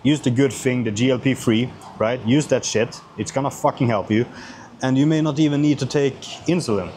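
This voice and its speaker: A man's voice: mid-range voice, brisk, a little mellow. Blunt Swedish man over background noise. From Sweden. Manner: blunt, frequent swearing. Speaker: YouTuber. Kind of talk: casual question-and-answer vlog talk.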